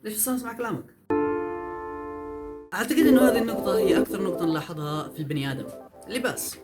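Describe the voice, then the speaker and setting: A young man, giving a lively, emphatic vlog monologue full of rhetorical questions. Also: high-pitched, very fast. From Yemen. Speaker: vlogger. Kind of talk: fast opinion monologue. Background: faint background music.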